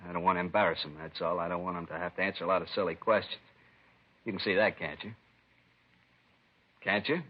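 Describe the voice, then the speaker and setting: Casting: sick, whining arrested addict for vintage radio crime drama, low and quick, slangy, defensive, cornered. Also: male voice, fairly even intonation. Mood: defensive, pleading. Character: sick, evasive, pleading male narcotics addict under arrest. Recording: dull, lo-fi vintage radio recording.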